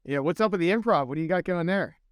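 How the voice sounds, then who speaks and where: A man's voice: high voice, expressive, fast, no room sound, studio quality. A man hosting a podcast, asking questions in a loose, curious way with plenty of "like". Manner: curious, loose, uses "like" a lot. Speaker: podcast host. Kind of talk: casual interview conversation.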